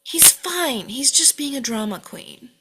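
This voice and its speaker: A woman's voice: sits high, expressive, unhurried, noisy background. A woman playing a volatile, foul-mouthed mother at a script table read, cutting and scolding. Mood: sharp, impatient. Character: bitter, volatile, foul-mouthed mother berating her grown children.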